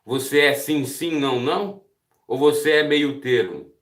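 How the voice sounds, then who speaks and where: Earnest Brazilian preacher in an echoey room. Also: male voice, medium pitch, measured pace. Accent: Brazilian Portuguese. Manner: earnest, asks listeners direct questions. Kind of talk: devotional Bible teaching.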